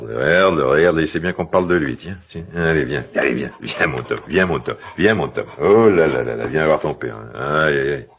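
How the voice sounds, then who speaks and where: A middle-aged actor playing a gruff but tender, old-fashioned father in a naturalistic radio drama, speaking in halting, musing phrases. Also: rumbling low, exaggerated voice. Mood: affectionate, musing. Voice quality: gravelly, husky.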